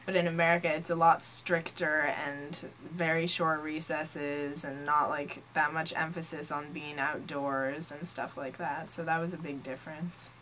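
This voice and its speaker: A woman's voice: sits low, flat delivery, normal speed, few pauses, fairly bright tone, slight background noise, low-quality recording. A nineteen-year-old woman from Norway, a native Norwegian speaker, answering interview questions in a casual way with lots of "like". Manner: casual, frequent "like" as filler.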